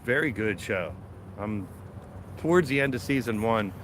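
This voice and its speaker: A man's voice: lowish voice, animated, moderate pace, a bit bright, slight background noise. Laid-back stuffed-up man streaming from his garden. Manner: rambling, laid-back, talks to chat while walking. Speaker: live stream host. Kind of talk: casual live-stream chat. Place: outdoors in a backyard garden. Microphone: phone camera held while walking.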